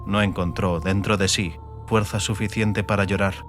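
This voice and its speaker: Low-voiced man narrating an audiobook. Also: steady tone, normal speed, soft background music. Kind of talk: scripted dramatic narration. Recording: faint ambient noise behind.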